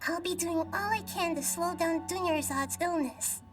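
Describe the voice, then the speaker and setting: A gentle little girl goddess with a very high voice. She speaks in measured, poetic riddles, like a patient teacher in a video game. Music plays clearly behind her. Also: distant mic. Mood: solemn, calm.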